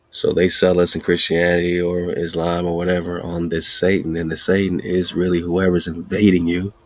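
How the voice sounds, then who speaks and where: A podcast host speaking in a slow, emphatic, repetitive preaching style with a husky voice. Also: male voice, low-pitched, completely flat delivery, no room sound. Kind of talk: casual preaching-style monologue.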